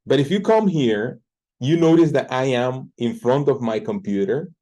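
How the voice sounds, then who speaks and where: A man's voice: medium pitch, animated, normal speed, warm tone. A man in his forties with a Dominican Spanish accent, introducing himself earnestly as a language tutor from his home teaching office, speaking into his computer camera. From Dominican Republic. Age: 40s. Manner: earnest, with restarts and fillers.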